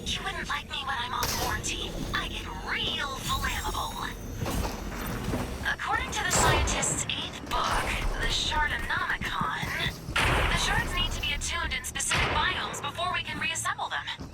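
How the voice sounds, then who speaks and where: A woman's voice: high-pitched, lively intonation, measured pace, distant mic. A woman voicing an intense, devoted young female robot assistant in a video game, childlike and melodramatic, turning from pleading to menacing. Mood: earnest, intense. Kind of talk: video game character voice acting. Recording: loud music behind the voice.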